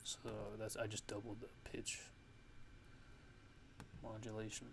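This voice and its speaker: A man giving a casual ASMR music production tutorial, thinking aloud in a halting way as he works. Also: fairly low pitch, monotone, heavy background noise.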